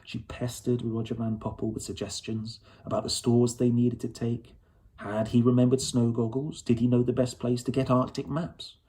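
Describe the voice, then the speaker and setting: A man's voice: medium pitch, flat delivery, normal speed, warm tone. A man reading a storybook aloud as narrator. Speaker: audiobook reader.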